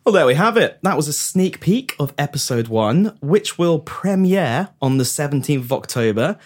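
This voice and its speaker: A man presents a comedy podcast with a very animated, rising and falling voice. His chat is playful and teasing, somewhere between prepared patter and banter. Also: on the high side, moderate pace. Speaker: podcast host and writer. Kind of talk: comedic scripted-sounding chat.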